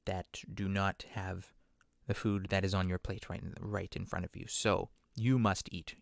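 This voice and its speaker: A man's voice: deep voice, vocal fry, dry recording, studio quality. A man talking on a podcast in a loose, rambling monologue, self-deprecating and full of conversational asides. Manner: conversational, many fillers and asides. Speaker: podcast speaker. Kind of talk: casual monologue.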